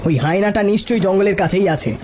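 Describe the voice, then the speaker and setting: A man's voice: high voice, racing, heavy background noise, pitched above the performer's own voice, lightly acted. A man playing a stubborn, commanding police officer who gives curt orders in a cartoon story. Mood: stern resolve.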